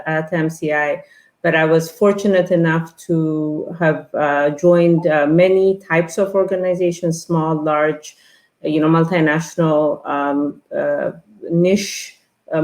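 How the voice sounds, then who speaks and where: Woman, fifties, Ethiopian-born guest, deep voice, halting delivery, roomy echo. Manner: halting, many fillers and restarts. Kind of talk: casual interview talk.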